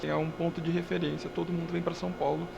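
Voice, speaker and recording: A woman with a low, gravelly voice speaks fluently and with enthusiasm in an interview. Background noise is clearly heard. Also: little pitch movement, quick pace. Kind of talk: interview answer.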